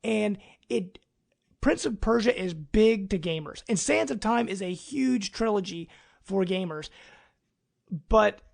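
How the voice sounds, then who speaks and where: A man's voice: high-pitched, expressive, a little slow, creaky. A man speaking as a podcast host in a casual discussion.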